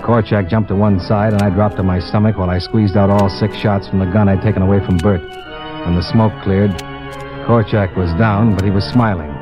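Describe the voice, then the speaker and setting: A man's voice: lowish voice, steady tone, moderate pace, natural voice. An American actor playing a tough, wry insurance investigator, narrating his case in a dry, clipped 1950s radio detective style. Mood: hard-boiled, dry. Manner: hard-boiled, dry, clipped storytelling. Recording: dull vintage radio recording with music.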